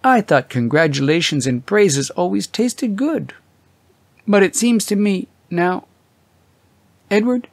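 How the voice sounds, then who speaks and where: A man voicing an excitable, worried older wife in an audiobook, questioning and exclaiming with tender concern. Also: slow, vocal fry, long pauses, no echo, heavily acted. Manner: higher-pitched, questioning, exclaiming.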